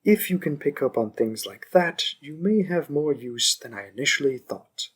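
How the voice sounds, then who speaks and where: A man playing a smug, possessive young mafia boss in an audio roleplay, speaking deliberately with condescending politeness and calm menace. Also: fairly high pitch, slightly rough. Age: young adult. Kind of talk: scripted audio roleplay addressed to one listener.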